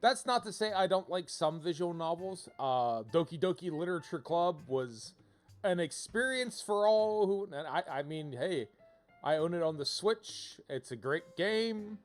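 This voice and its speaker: A man giving casual livestream commentary to viewers, thinking out loud in an informal, rambling way and often rephrasing himself. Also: high-pitched, expressive, measured pace. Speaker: video game livestreamer, host. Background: music.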